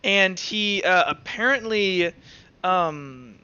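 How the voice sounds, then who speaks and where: A man running a tabletop game as game master, explaining casually and haltingly, rephrasing as he goes. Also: sits high, animated, pushed and bright, clear, resonant tone, no room sound, muffled recording.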